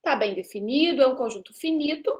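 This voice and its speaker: A woman's voice: high-pitched, lively intonation, fairly quick, a little mellow. A woman in her thirties from Brazil giving a live classroom lecture, chatty and teasing with her students, often checking in with them. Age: 30s. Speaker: university lecturer.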